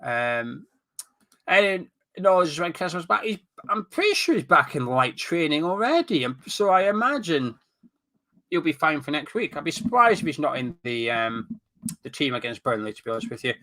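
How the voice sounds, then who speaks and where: Scottish man with a bright, blocked-up voice. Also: fairly high pitch, very animated, normal speed. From Scotland. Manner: chatty, banters with chat, drifts off topic. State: sniffly and blocked up with a cold. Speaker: live stream host. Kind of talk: casual live-stream chat reading viewer comments. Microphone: webcam.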